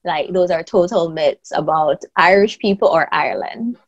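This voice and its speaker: A woman's voice: fairly low pitch, moderate pace. A young woman hosting a casual interview over a video call, upbeat and laughing often. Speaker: host. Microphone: earphone mic on a video call. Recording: dull lo-fi video call audio.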